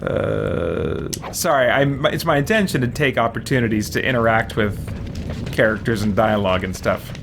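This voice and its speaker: A man's voice: medium pitch, normal speed, creaky, background noise. A man hosting a let's play, giving rambling, informal commentary over a video game. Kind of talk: casual commentary over gameplay. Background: game music.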